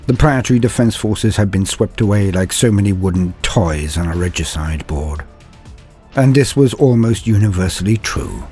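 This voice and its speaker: Deep-voiced man narrating grave fiction. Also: dark tone. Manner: grave, dramatic reading.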